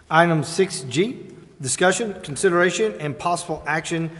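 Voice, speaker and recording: A man with a fairly high voice chairs a formal council meeting, reading agenda items in procedural language. His voice rings with long reverb around the chamber. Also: expressive, a little slow, a bit bright.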